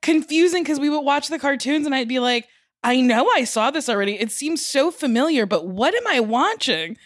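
A woman's voice: high-pitched, animated, moderate pace, dry recording, studio quality. A woman with an American accent co-hosting a podcast in casual, slangy conversation. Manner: informal, slangy. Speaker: podcast co-host.

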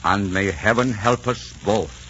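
A man acting a deep, brooding soldier-lover. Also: a little slow, over loud music, lightly acted. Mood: resigned. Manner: grave, ardent, dramatic. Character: brooding, world-weary male adventurer soldier in love. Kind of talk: 1940s radio romance acting. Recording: dull, noisy 1940s radio recording.

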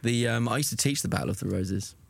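A man's voice: medium pitch, fast, gravelly, breathy edge, no room sound. A man from southern England hosting a casual interview, teasing his guest in a playful way. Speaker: podcast host.

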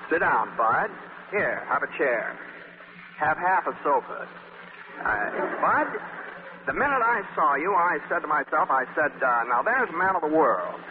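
A man's voice: fairly high pitch, sing-song, a little slow. An actor playing a suave, worldly older man who schemes and teases in a 1940s radio play. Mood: scheming. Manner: smooth, teasing, stammers playfully. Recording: tinny old radio broadcast with hiss and reverb.

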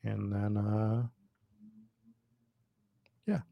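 A man's voice: on the low side, steady tone, drawn-out words, vocal fry, dull audio. An American man from the Boston area chatting informally and swearing freely as he hosts a casual live draft stream commentary. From Boston area, United States. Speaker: host of a fantasy football draft stream.

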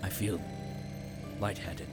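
An actor playing a pompous, self-pitying company official in an audio drama, speaking in florid, grandiloquent phrases with melodramatic flair. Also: male voice, low voice, level tone, takes it slowly, over loud music. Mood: weary, mournful pomp.

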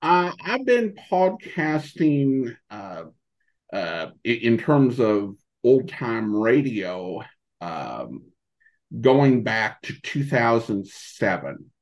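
A middle-aged man, a podcast guest, answering in a casual, halting way and often rephrasing himself. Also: medium pitch, sing-song, low-quality recording. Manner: halting, hesitant, frequently rephrasing. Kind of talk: casual interview answers, reminiscing.

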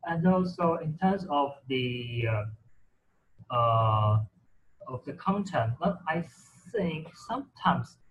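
A man's voice: on the high side, lively intonation, slow, dull audio, a little hiss or hum. A man whose first language is Mandarin, talking casually in English with many fillers and restarts. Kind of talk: casual conversation about reading.

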